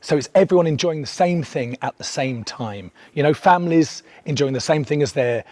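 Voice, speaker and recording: Man, fairly high voice, interview answer, conversational, dry recording. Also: expressive, fairly quick, a little mellow, no room sound.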